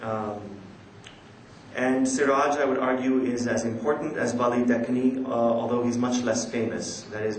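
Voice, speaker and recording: A man's voice: medium pitch, a little mellow. Male academic lecturing in an echoey hall. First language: not a native Urdu speaker, which the talk quotes. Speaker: academic presenting a conference paper. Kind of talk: scholarly lecture with poetry recitation. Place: large indoor conference hall. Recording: echoey conference hall, background noise.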